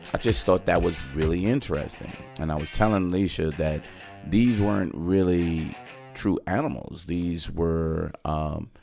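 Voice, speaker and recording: A man with a very low voice and a gravelly edge talks casually as co-host of a movie review. His delivery is halting and hesitant, with soft music faintly underneath.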